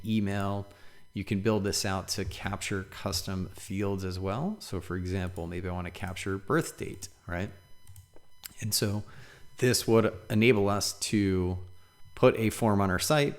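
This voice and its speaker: A man giving a casual, conversational product walkthrough as a demo presenter, explaining step by step and checking in with short tag questions. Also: lowish voice.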